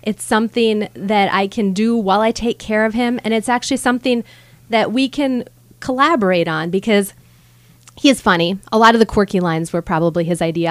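A woman's voice: mid-range voice, dry recording. A woman in her thirties from Michigan's Upper Peninsula, a guest author speaking earnestly with light humor on a studio microphone. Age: 30s. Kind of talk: radio interview. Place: radio studio.

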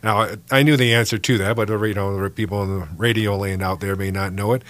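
A man's voice: lowish voice, fairly even intonation, quick pace, fairly bright tone, no echo. A man hosting a local radio interview show in a studio, chatty and friendly with frequent filler uhs. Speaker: radio talk show host.

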